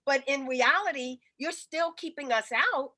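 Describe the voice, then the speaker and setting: A woman's voice: high-pitched, normal speed, bright, forceful tone. A woman consulting for small businesses, talking in a rambling way with many ums and restarts on a casual group video call.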